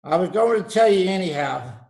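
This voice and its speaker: An actor playing a cagey, salty tattooist who talks like an old sailor, in a radio play. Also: male voice, high voice, expressive, brisk, creaky, darkish tone. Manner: salty, evasive, calls people mate. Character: cagey old-salt male tattooist, nautical slang. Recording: home video-call recording.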